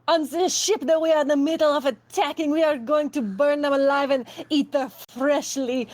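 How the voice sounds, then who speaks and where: A ruthless cannibal pirate captain with a French accent barks orders at her crew, as a woman puts her on during a tabletop game. The voice sits high and keeps a gleeful, commanding menace. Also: fairly even intonation, moderate pace, a little mellow, close to an everyday voice. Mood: menacing. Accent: put-on French accent.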